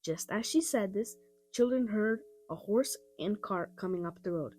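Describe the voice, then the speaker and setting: A woman narrating a children's audiobook in an expressive storytelling style. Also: medium pitch, normal speed, soft background music. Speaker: storybook reader.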